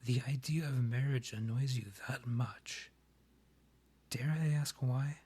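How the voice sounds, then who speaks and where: Husky, courtly vampire king, acted by a man. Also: mid-range voice, fairly even intonation, warm tone. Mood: measured, advisory. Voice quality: husky, breathy. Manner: formal, measured, theatrical phrasing. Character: proud, courtly male vampire monarch, rival and ex. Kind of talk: scripted roleplay audio, ASMR.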